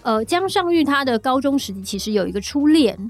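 A woman's voice: sits high, expressive, brisk. A woman with a Taiwanese Mandarin accent hosting a casual podcast chat in a chatty, colloquial way. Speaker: podcast host.

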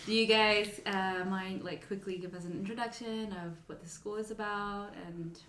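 A woman's voice: mid-range voice, fairly even intonation, normal speed, a bit bright, roomy sound, a little hiss or hum, low-quality recording. Upbeat young woman co-hosting a podcast. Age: 20s. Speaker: podcast co-host. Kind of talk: podcast intro and interview.

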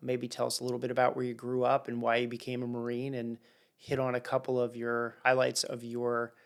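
A man's voice: medium pitch, monotone, brisk, clear, resonant tone. A man hosting a leadership podcast, interviewing his guest in an earnest, enthusiastic way. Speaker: podcast host.

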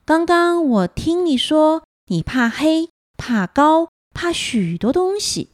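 A woman voicing a gentle, welcoming mother leopard with a soft voice, in a children's story. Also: sits high, very animated, takes it slowly, no room sound, studio quality, heavily acted. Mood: kindly.

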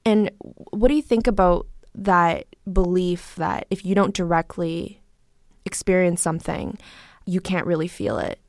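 A woman from Korea hosting a documentary podcast, narrating and interviewing softly with thoughtful pauses. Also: on the low side, steady tone, no echo, clean studio sound.

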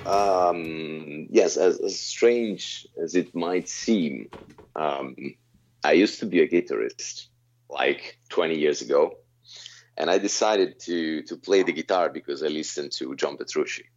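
A man in his thirties with a very deep voice, answering interview questions in a halting, self-correcting way. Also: a little mellow. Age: 30s. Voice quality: very low, deep voice. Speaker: guest vocalist. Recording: slightly dull lo-fi sound.

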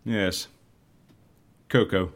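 An actor playing an eccentric, bossy, exasperated secret agency interviewer with a theatrical, incredulous manner in a comedy audio drama. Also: male voice, fairly low pitch, steady tone, extremely slow. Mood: grandiose.

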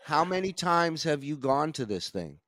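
A man's voice: fairly high pitch, sing-song, normal speed, fairly bright tone, slight background noise. A man hosting a crude comedy podcast, teasing his guest and laughing often between hesitant phrases. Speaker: host.

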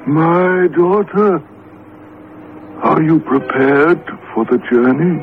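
An actor playing the solemn, commanding spirit of an ancient Egyptian pharaoh, speaking in grand archaic pronouncements in a radio drama. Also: male voice, fairly high pitch, slow, background noise. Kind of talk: old radio drama acting. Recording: tinny vintage radio broadcast with music.

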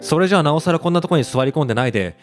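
A man's voice: a little high, lively intonation, racing, soft background music, close to an everyday voice. A man voicing a teasing, scolding young woman of university age in a radio drama.

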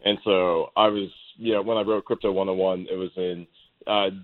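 A man's voice: low-pitched, little pitch movement, moderate pace, phone-quality sound. A man talking casually as an interview guest, telling his own story in an informal, anecdotal way. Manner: informal, self-quoting storyteller. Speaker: interview guest, startup co-founder.

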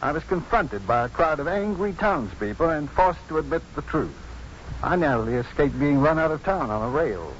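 An actor playing a self-possessed, protective doctor in a 1950s radio drama, measured, dry and firm. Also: male voice, fairly high pitch, big pitch swings. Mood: firm, guarded. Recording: muffled, noisy 1950s radio broadcast.

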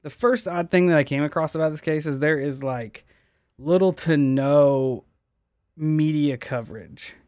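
A man's voice: on the high side, unhurried, a little mellow, no room sound, clean studio sound. A man hosting a podcast, casually telling a mystery story, swearing often and stumbling over names. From United States. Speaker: podcast host.

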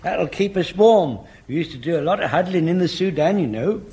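Excitable high-voiced old English corporal. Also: male voice, brisk. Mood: eager, excitable. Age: older. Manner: excitable, doddery, earnest. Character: eager, excitable elderly veteran corporal, male. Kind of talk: British sitcom acting. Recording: faint noise, old comedy recording.

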